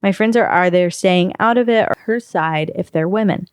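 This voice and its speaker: Smooth low-voiced woman reading aloud. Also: moderate pace, no echo, studio quality. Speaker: podcast co-host reading a story. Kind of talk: reading a first-person online post aloud.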